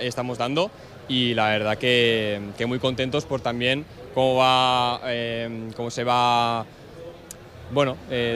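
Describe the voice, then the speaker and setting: A man talks about a gaming event in a hesitant way, restarting and repeating words. His delivery is flat and even, recorded on site at the event. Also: mid-range voice. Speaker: event communications manager. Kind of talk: on-site interview.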